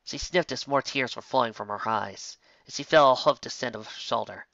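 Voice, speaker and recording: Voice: mid-range voice, fairly quick, vocal fry, fairly bright tone, dry recording, lo-fi sound. A narrator reading a fan fiction story in a scripted storytelling style.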